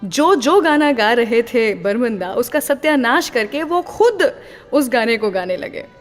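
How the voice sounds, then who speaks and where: A woman hosts a radio show, spinning a chatty, teasing story between songs. Her voice sits a little high, with a long reverb trailing each phrase. Soft music plays faintly underneath. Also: lively intonation, a little slow. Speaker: radio host.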